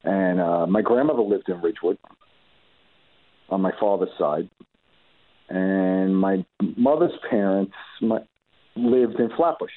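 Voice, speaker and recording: A man's voice: deep voice, unhurried, long pauses. A man from New York, a guest musician on a remote call, telling his story in casual, rambling interview chat full of restarts. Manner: rambling, many restarts and fillers. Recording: tinny phone-like line.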